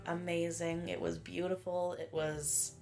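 A woman's voice: lowish voice, level tone, unhurried, gravelly, a little mellow, faint music behind. Sniffly, chatty woman in her late twenties. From Canada. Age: late 20s. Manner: chatty, rambling, laughs often. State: stuffy nose, sniffly. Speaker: podcast co-host. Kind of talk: casual chat.

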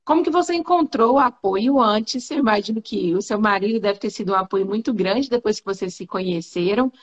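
A Brazilian woman with a medium-pitched voice hosts a live online chat. She speaks informally and directly to her guest and viewers, with a Brazilian Portuguese accent, over slightly muffled video-call audio. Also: a little fast. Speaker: host. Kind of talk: casual live interview chat.

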